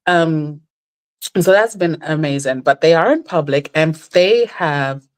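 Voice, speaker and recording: Low-voiced animated woman storyteller. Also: unhurried. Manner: animated storyteller, jumps high when quoting others. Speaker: guest. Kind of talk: casual chat.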